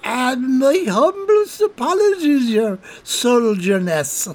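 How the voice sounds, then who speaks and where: A man putting on a high-pitched drunk rogue. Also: very animated, takes it slowly, heavily acted. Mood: tipsy, cheeky. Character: drunk Irish petty crook, middle-aged man, cheeky and wheedling.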